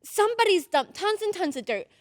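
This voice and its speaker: A woman playing an excitable, insistent little girl in an old-time radio drama, with a dramatic, urgent manner. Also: very high voice, a little fast, dry recording, studio quality.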